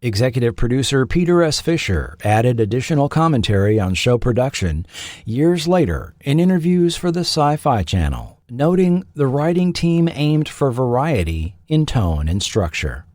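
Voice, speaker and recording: A man narrating a scripted documentary in a measured, formal storytelling manner. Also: mid-range voice, very animated, darkish tone, dry recording, studio quality. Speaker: podcast host and narrator.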